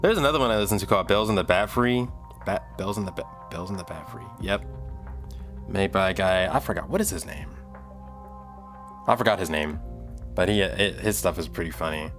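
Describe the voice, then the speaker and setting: A man's voice: low-pitched, brisk, long pauses, fairly bright tone, faint music behind. A man in his thirties chatting casually on a livestream, rambling and thinking aloud as he works. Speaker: live streamer making music. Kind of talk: casual livestream chat while working.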